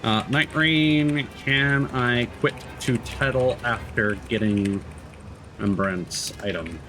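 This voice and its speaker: A man's voice: mid-range voice, slow, noisy background. A man giving casual, laid-back live commentary as he plays a video game, explaining things as he goes.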